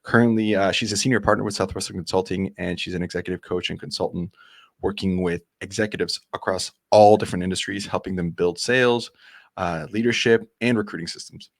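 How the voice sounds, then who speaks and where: A man's voice: low voice, steady tone, fairly quick, creaky, no room sound, studio quality. A man hosting a casual podcast interview, eager and chatty, often restarting his sentences. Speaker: podcast host.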